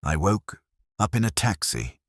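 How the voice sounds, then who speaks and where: A man plays a glamorous, desperate woman in a detective story. The voice stays low for her, yet swings up and down as she pleads haltingly in fear. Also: sing-song, no room sound, studio quality. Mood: frightened.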